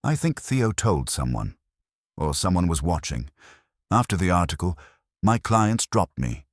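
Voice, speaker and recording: A man's voice: low voice, big pitch swings, a little slow, dry recording, studio quality, exaggerated voice. A man voicing a wronged, defiant woman client who gives guarded, terse, wounded retorts in a detective audiobook. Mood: bitter, guarded.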